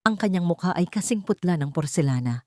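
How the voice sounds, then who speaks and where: A woman with a low voice narrates dramatic fiction. Her delivery is deliberate and theatrical, with melody that swings widely to build suspense, in a very dry, close sound. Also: lively intonation, dark tone, dry recording. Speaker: narrator of an audio story. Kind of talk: dramatic scripted storytelling narration.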